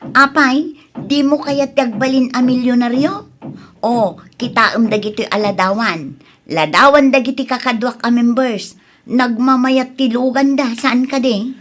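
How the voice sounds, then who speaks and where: A woman's voice: fairly high pitch, unhurried, a bit bright. A woman playing a pushy, boastful neighbour who coaxes a friend in an Ilocano radio comedy drama, with a Filipino Ilocano accent. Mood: coaxing, boastful.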